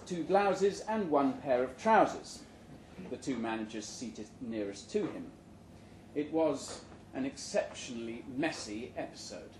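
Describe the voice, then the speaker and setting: A man reading a short story aloud at a live event with a deadpan, measured comic delivery. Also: on the high side. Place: indoor room at a live literary event. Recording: roomy live venue recording with background noise.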